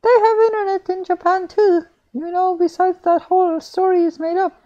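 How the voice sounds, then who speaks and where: A man voicing a chatty young female art student in a thin falsetto, reading a visual novel aloud. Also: flat delivery, moderate pace, mellow, dull audio. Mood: dismissive.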